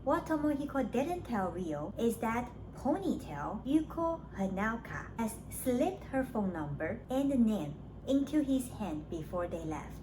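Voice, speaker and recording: A woman's voice: on the high side, big pitch swings, measured pace, hardly any pauses. A woman narrating a scripted summary of a story, as a book reviewer does. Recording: clearly noisy background.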